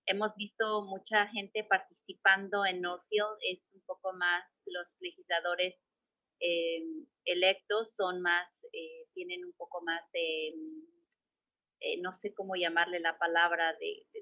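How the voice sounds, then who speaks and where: A woman's voice: on the low side, little pitch movement, a little slow, highs cut off. A middle-aged woman from the State of Mexico, a guest answering questions hesitantly, with many fillers and restarts. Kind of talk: conversational interview answers.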